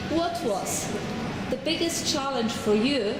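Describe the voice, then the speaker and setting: A woman teacher slowly asks students questions in a deliberate interview style. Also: high-pitched, lively intonation. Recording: echoey hall with loud background noise.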